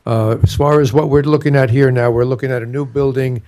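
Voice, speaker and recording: A man in a mid-range voice runs the public comment at a planning board meeting. He talks in a halting, hesitant way, full of fillers and restarts. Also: mid-range voice, steady tone, background noise. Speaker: board chair.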